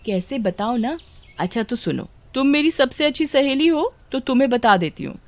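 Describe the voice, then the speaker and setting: A woman voicing expressive, exclamatory village women in a children's cartoon story. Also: high-pitched, normal speed, dry recording, voice pushed up. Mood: chatty, curious. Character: village women, eager, chatty and indignant.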